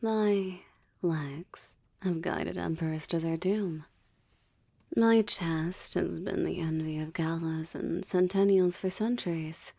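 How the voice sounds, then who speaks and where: Woman playing a low, slow, seductive succubus demoness. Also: steady tone, mellow, heavily acted. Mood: haughty, seductive. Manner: teasing, haughty, theatrical, often dropping into breathy whispers. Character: seductive, gloating succubus demoness toying with a captive. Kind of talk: intimate roleplay audio drama monologue.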